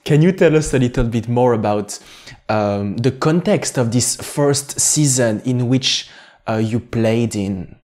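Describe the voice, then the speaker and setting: A man hosting an interview, asking formal questions with many hesitant "uh" and "um" pauses. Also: mid-range voice, darkish tone. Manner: halting, many "uh" and "um" fillers, formal phrasing. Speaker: host.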